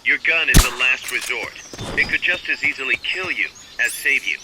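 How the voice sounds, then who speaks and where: A confident military commander, played by a man coaches a soldier through a sneaking mission over the radio. His voice sits at an ordinary male pitch, brisk and instructive. Noticeable background noise runs under the game audio. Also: close to an everyday voice. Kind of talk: video game cutscene and tutorial dialogue.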